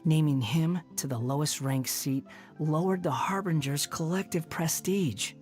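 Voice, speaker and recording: Sardonic young wanderer, video game voice, low pitch, scornful, condescending, light music behind. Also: female voice, unhurried, a little mellow. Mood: dismissive. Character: haughty, sardonic young wanderer, a former villain with mechanical parts. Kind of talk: video game character voice-over.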